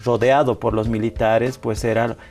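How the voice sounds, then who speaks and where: A man in his forties, from Bolivia, speaks in a mellow, darker tone as a guest in a television studio. He talks reflectively and at a measured pace, often pausing on tag questions and restarting phrases. Soft music sits faintly under his voice. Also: mid-range voice, level tone. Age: 40s. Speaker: interview guest, rights activist. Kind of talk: reflective personal storytelling.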